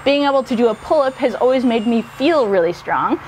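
A woman's voice: a little high, expressive, brisk, darkish tone, a little hiss or hum. A woman, narrating a DIY vlog to viewers in an upbeat way. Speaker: host of a DIY workshop channel.

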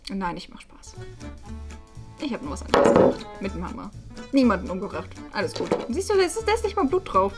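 A young woman playing a gloomy, fussy teenage goth schoolgirl in a web series, complaining in halting, repetitive phrases. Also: medium pitch, big pitch swings, over loud music. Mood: scheming, dry. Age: young adult. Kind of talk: improvised acted web series.

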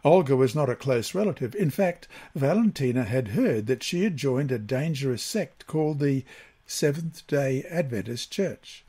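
Slightly husky man reading a devotional lesson. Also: mid-range voice, lively intonation, normal speed, dark tone. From Australia. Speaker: Bible lesson reader.

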